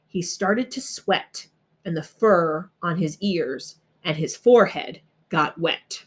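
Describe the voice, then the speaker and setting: A woman narrating a rhyming children's storybook aloud in an expressive, dramatic way. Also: fairly low pitch, slow pace. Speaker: storybook reader.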